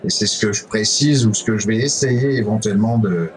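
Low-voiced middle-aged man from France. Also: poor audio. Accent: French from France. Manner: halting, digressive, frequent self-corrections. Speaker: conference speaker, cybersecurity specialist. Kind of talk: informal interview answers.

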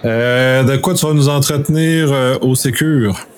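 A man's voice: mid-range voice, measured pace, slight background noise. A man hosting an interview in a conversational style. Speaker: interviewer, host.

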